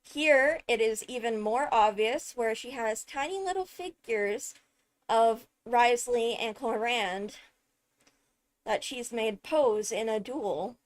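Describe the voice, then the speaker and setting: A woman giving casual, excited video commentary on a game trailer, chatty and thinking aloud with frequent interjections. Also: a little high, takes it slowly. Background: loud music from the video under commentary.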